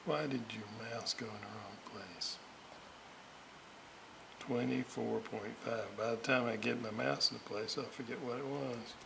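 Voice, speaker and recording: A man with a gravelly voice, muttering haltingly to himself as he thinks aloud through technical work and repeats readings. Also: mid-range voice, fairly even intonation. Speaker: radio restorer. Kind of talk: thinking aloud while working. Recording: clearly noisy workshop recording.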